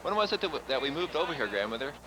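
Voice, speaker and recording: A man's voice: a little high, sing-song, rapid-fire. Guy filming family stuff outside, talking over the camcorder, noisy old tape sound. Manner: narrates what he films and asks relatives questions. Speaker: family member filming a home video. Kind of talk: casual chat behind a camcorder. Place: outdoors at a family home. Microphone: camcorder mic. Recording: 1980s camcorder sound with background noise.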